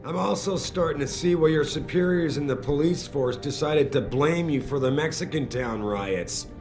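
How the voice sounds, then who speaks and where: An actor playing a brash, demanding company boss in a video game, speaking bluntly and emphatically. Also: male voice, on the high side, moderate pace, music in the background, close to an everyday voice. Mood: exasperated, scolding. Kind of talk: dramatic video game acting.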